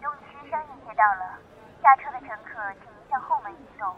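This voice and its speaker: A woman's voice: high-pitched, animated, fast. A woman playing a formal, courteous bus announcer, heard through a public address speaker. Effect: public address speaker filter. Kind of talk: scripted public transport announcement. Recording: tinny, phone-like bus speaker.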